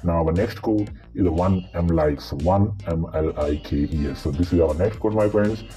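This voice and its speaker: A man with a pitched-down monster voice filter, walking through game codes and spelling each one letter by letter. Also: normal speed. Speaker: gaming channel host. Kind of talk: mobile game code tutorial. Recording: voice-over with faint guitar music.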